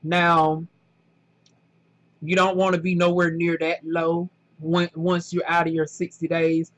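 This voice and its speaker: A man's voice: fairly high pitch, completely flat delivery, unhurried, a bit bright. A man with a strong Southern US accent, chatting casually to camera in a rambling, storytelling way, tossing in "honey" and "y'all.". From southern United States. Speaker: vlogger.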